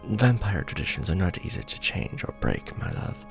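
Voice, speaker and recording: A man playing a courtly, doting vampire lord in an ASMR romance roleplay, speaking formally and deliberately with tender pauses. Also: low voice, huge pitch swings, vocal fry, over music, heavily acted. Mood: earnest, tender.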